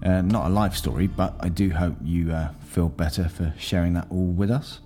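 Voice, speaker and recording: A man's voice: low voice, fairly even intonation, moderate pace, dark tone, soft background music. A man hosting a podcast interview, asking questions with dry, teasing sarcasm.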